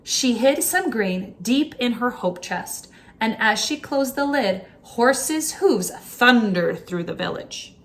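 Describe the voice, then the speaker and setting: A woman reading a children's book aloud as a teacher, in an expressive storytime style. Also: on the high side, slow pace.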